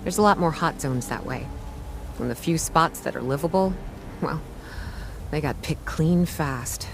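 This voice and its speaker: A woman's voice: sits low, a little slow, vocal fry, over music. A woman playing a scrappy, friendly young treasure hunter in a video game, with a casual, earnest and slightly hesitant delivery. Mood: frank, helpful. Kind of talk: naturalistic video game dialogue.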